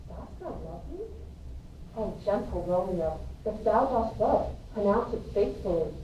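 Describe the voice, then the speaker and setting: A woman's voice: mid-range voice, expressive, a little slow, mellow, muffled recording, natural voice. A woman reading the part of an earnest, anxious young girl in love in a Shakespearean verse drama. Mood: anxious, questioning. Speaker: amateur reader in a school play. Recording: roomy, distant mic, faint noise.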